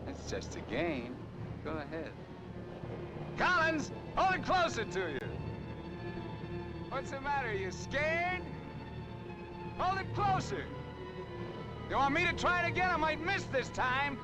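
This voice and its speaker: An actor playing a menacing, taunting killer who holds hostages at gunpoint in an old film thriller, giving commanding orders. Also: male voice, extremely high pitch, sing-song, measured pace, long pauses, heavily acted. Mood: shouted taunts. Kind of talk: 1950s film thriller acting. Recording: old film soundtrack with music.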